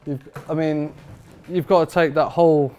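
Barber guy in his 30s hyping up his gear, smooth clear voice, chatty and emphatic. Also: a little high, steady tone, moderate pace, a little mellow. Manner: enthusiastic, emphatic, informal. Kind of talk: product review chat. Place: barber shop, indoors.

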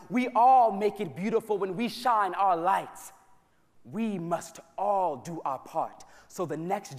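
High-voiced man reciting in an echoing church. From United States. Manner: rhythmic, rhyming, with emphatic slow repetitions. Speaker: spoken word poet. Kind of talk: spoken word poetry performance. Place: church sanctuary during a live concert. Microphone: stage microphone. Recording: long hall reverb from a large church.

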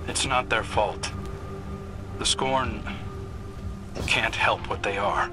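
A man voicing an earnest, brooding younger hunter in a video game, speaking in a serious, measured manner. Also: lowish voice. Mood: serious, focused. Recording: game audio under music. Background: game music.

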